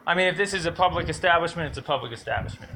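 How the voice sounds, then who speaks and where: A man's voice: fairly high pitch, expressive, rapid-fire, gravelly, noisy background. A man arguing in an insistent, legalistic and wordy way, as a citizen making a complaint. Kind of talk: argumentative phone call and conversation.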